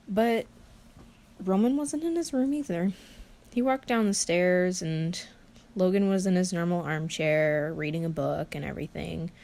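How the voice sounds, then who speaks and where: A woman narrating a fan-fiction story in an informal, halting, conversational way. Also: on the low side, darkish tone.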